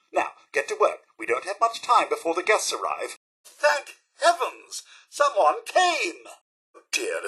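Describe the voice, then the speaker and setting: A man playing a fussy, posh and polite robot butler in a video game, with a British accent, raspy voice and formal, florid delivery. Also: sits high, sharp tone, heavily acted. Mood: haughty, exasperated, then weary, grudging. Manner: formal, florid, servile diction with dry wit. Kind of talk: theatrical video game character acting.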